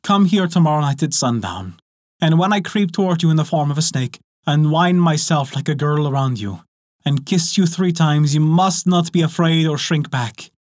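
A man's voice: on the high side, normal speed, a little mellow, no room sound, studio quality, close to an everyday voice. A man reading a storybook, voicing an enchanted young princess with a sweet, imploring voice. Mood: urgent.

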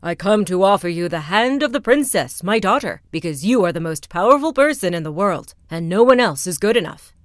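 A woman's voice: mid-range voice, expressive, brisk, hardly any pauses, no echo, studio quality, lightly acted. A woman doing a pompous little rat king. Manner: pompous, self-important.

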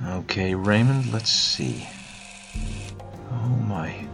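A man's voice: lowish voice, animated, drawn-out words, creaky, heavily acted. A man acting a focused, brilliant scientist in an audio drama. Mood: absorbed. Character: focused, brilliant male scientist developing a cure. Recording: distant voice under loud music.

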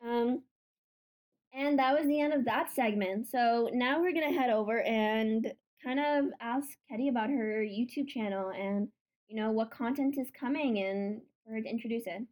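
A woman's voice: on the high side, moderate pace, a little mellow. A woman hosting a casual podcast, playful and teasing, laughing often. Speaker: podcast host. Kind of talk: casual chat.

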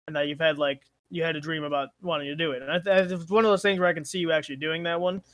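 High-voiced young man thinking aloud. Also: fairly even intonation, racing, a bit bright, dry recording. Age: young adult. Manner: thinks aloud, second-guessing himself. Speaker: guest friend answering questions. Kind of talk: casual chat among friends.

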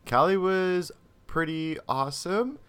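A man's voice: fairly high pitch, expressive, slow, a bit bright, clear, resonant tone. A man co-hosting a casual podcast, joking bluntly and crudely. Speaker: podcast co-host. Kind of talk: casual chat.

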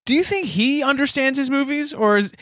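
A man's voice: very high voice, fairly quick, fairly bright tone, dry recording, studio quality. A man co-hosting a podcast, chatting in a wry, teasing way. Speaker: podcast co-host. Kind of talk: casual podcast chat.

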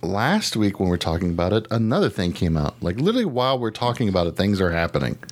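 A man's voice: low voice, sing-song, quick pace, a little hiss or hum. A man chatting casually as a podcast co-host, rambling and slangy with casual swearing. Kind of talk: casual chat.

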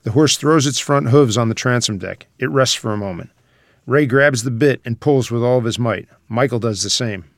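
A man's voice: mid-range voice, moderate pace, no room sound, studio quality. A man reading out screenplay scene headings and stage directions as a scripted narrator.

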